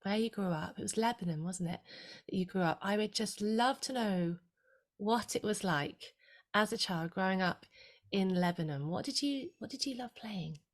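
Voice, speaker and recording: A woman's voice: mid-range voice, expressive, moderate pace. A woman hosting a podcast, asking eager, rambling questions in an enthusiastic way. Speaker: podcast host. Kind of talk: podcast interview.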